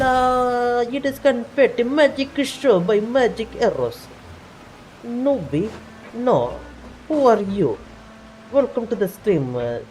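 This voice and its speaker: A woman's voice: mid-range voice, warm tone, poor audio. A woman playing a vain male French magician with a put-on French accent while streaming a game in casual, pompous chat. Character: vain, prideful self-styled great French stage magician, male. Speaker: live streamer.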